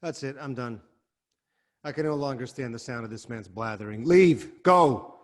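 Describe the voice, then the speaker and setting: A man's voice: medium pitch, animated, normal speed, natural voice. An actor playing a proud, hot-tempered king in a dramatic reading over a video call, scornful and commanding. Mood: contemptuous dismissal. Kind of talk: Zoom dramatic reading of a Greek tragedy.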